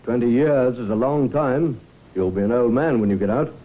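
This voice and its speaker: A man's voice: medium pitch, moderate pace, natural voice. Man playing a probing inspector, tinny old radio. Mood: coaxing, pressing. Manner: polite but pressing, pointed questions. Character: probing, confident male police inspector. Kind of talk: old time radio drama acting. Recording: thin phone-like old radio recording.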